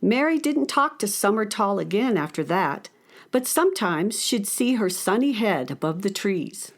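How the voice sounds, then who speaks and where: An actress playing a friendly narrator of a children's radio story, telling it expressively. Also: female voice, mid-range voice, sing-song, moderate pace. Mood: storytelling.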